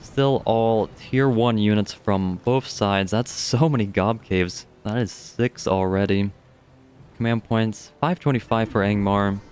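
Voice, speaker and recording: Deep-voiced young man casually commentating a strategy game. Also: unhurried, a little mellow, clear, resonant tone. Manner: casual, chatty, swears offhandedly. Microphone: studio dynamic microphone.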